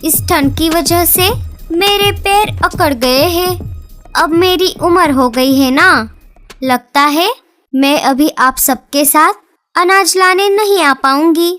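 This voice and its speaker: A woman with a high, light voice voices the birds in a children's cartoon. Her delivery is slow and sing-song, like a storybook. Soft music plays faintly under her. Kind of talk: cartoon dialogue acting.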